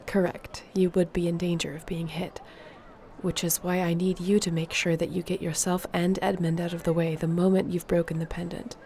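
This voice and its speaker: A woman playing an earnest, determined fantasy sorceress in an intimate audio roleplay, speaking in a measured, softly formal way. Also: on the low side, flat delivery, slight background noise, close to an everyday voice. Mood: earnest, resolute.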